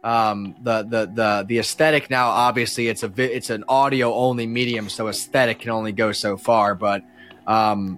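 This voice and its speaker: A man speaks as a guest on a casual podcast at an average pitch. He rambles and halts, often starting his sentences over. Music plays in the background. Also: a bit bright. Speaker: podcast guest, audio drama creator and musician.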